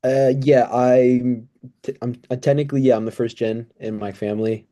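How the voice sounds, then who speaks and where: A man in his twenties giving earnest, thoughtful, somewhat halting answers in a casual interview. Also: medium pitch, level tone, mellow. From United States, born in El Salvador. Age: 20s. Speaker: interview guest, pre-physical therapy student.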